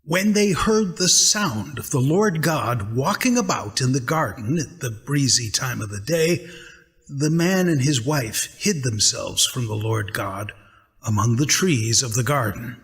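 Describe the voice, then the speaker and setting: A man giving a dramatic scripture narration in a measured, deliberate manner as the reader. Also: medium pitch, expressive, a bit bright, long reverb tail.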